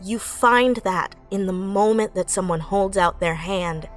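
A woman's voice: medium pitch, unhurried, faint music behind. A woman in her late twenties speaking earnestly and effusively as a writer in a reflective interview. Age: late 20s. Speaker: audio drama writer and voice actor.

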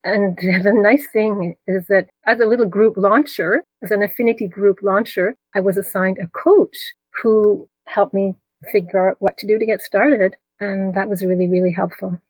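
Older French-native woman, 60s, calm and steady; dry, close recording. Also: mid-range voice, normal speed, dry recording, poor audio. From Canada. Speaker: guest, retired speech pathologist. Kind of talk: interview answers.

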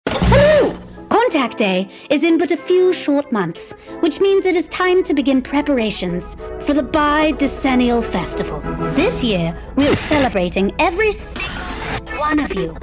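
Grand, high-voiced queen. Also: very animated, unhurried. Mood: grand, gracious. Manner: pompous, effusive, proclaiming. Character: grandiose, falsely gracious queen addressing her dystopian city. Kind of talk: scripted royal proclamation in a podcast trailer. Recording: loud music behind the voice.

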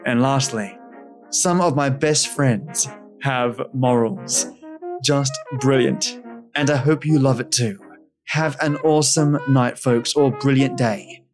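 A man's voice: mid-range voice, slow, over music. A man hosting a storytelling podcast, reading a scripted introduction. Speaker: podcast host.

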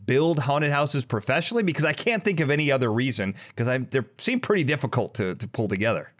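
A man hosts a casual interview. His pitch swings widely in a lively way as he chats and asks questions. His phrasing is informal and hedging. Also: quick pace. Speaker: podcast host, interviewer.

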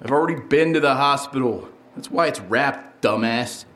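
An actor playing an injured, defiant police detective in an audio drama, resentful and sarcastic. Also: male voice, mid-range voice, normal speed, a bit bright, lightly acted. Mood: bitter, defiant.

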